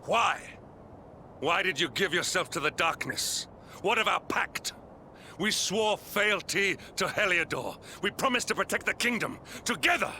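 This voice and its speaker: An actor playing a grave, loyal knight in a video game, speaking formally and earnestly. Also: male voice, high-pitched, animated, pushed and bright, slight background noise, exaggerated voice. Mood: anguished, accusing. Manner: formal, earnest, measured speech. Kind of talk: dramatic video game voice acting. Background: orchestral game music.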